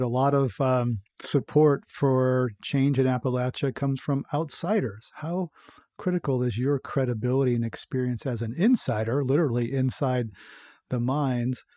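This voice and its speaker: A man hosting an interview podcast, asking measured, attentive questions and reading quotes aloud. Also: medium pitch, fairly even intonation, warm tone, dry recording, clean studio sound. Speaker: podcast host. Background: faint music.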